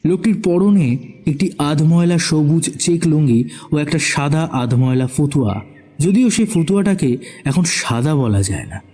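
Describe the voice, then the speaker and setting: Male narrator for horror audio story, dark husky voice, dramatic scripted read over music. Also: on the high side, lively intonation, measured pace. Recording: background music under the voice.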